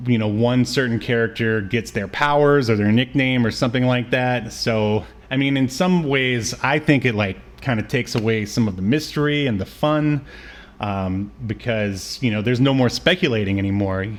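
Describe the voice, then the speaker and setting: A man co-hosting a podcast, chatting casually in a rambling, conversational way. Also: fairly low pitch. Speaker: podcast co-host. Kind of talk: casual chat about cartoons.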